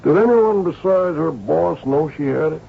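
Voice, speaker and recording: An actor playing a brisk, probing police inspector with a gravelly voice in an old-time radio drama. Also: male voice, fairly high pitch, animated, slight background noise. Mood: keen. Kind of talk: old-time radio drama acting with first-person narration. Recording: dull old radio recording.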